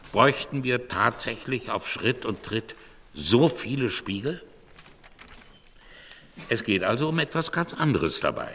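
A man's voice: fairly low pitch, bright, forceful tone, slight background noise, full character voice. An actor playing an earnest male cultural critic who delivers a bleak monologue in a rhetorical, emphatic way, as in a radio drama. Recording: long hall reverb.

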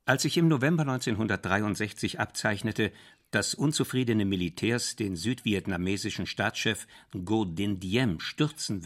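A man reading a history documentary script in a measured, even way. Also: fairly low pitch. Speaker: documentary narrator.

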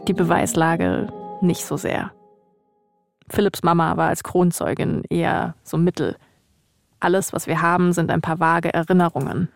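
Female podcast host, low register, creaky edge, narrative yet conversational. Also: steady tone, normal speed, dry recording, soft background music. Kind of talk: scripted podcast narration and casual chat.

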